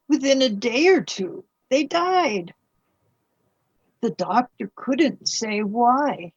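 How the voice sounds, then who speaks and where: Dull, dry recording. Anguished mother of a past century , played by a woman, husky, radio drama. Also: mid-range voice, no room sound, heavily acted. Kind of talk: radio play acting.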